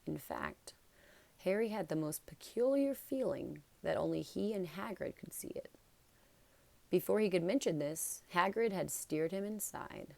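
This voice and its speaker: A woman's voice: on the low side, lively intonation, measured pace, creaky. A woman narrating a children's novel as a read-aloud. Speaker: storybook narrator.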